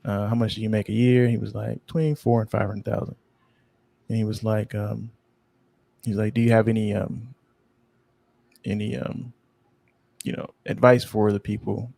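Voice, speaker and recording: A man co-hosting a podcast in casual chat, rambling, low-key and sleepy-sounding, often losing his train of thought. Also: flat delivery, normal speed, gravelly, pauses often, warm tone, dry recording. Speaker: podcast co-host.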